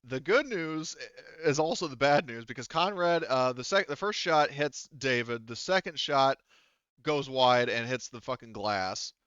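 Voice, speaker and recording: A man improvising as game master of a tabletop game, thinking aloud in a halting, casually profane way. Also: mid-range voice, lively intonation, fairly bright tone, smooth, no echo, muffled recording.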